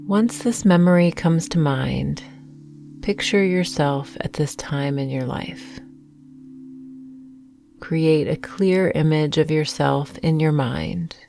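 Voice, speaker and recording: Slow, low-pitched woman guiding meditation. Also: vocal fry, slight background noise. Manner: soothing, gentle, unhurried with long pauses. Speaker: meditation guide. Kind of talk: guided meditation with affirmations. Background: soft meditation music with singing bowls.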